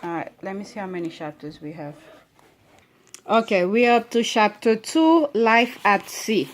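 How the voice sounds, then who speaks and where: A woman's voice: medium pitch, moderate pace, a little hiss or hum. A woman with an accent reading a history book aloud to her children at home, stretching key words and stopping often with "okay?" to check they understand. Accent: non-standard English accent.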